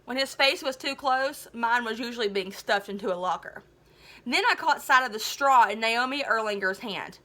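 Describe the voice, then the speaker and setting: A woman teacher reading a story aloud to students, expressive and chatty, with enthusiastic casual commentary. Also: a little high, moderate pace.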